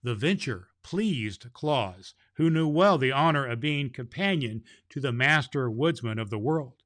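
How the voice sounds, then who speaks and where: A man's voice: mid-range voice, expressive, a little slow, fairly bright tone. A man hosting a children's podcast in the role of Santa Claus, reading a storybook chapter aloud with grandfatherly chuckles.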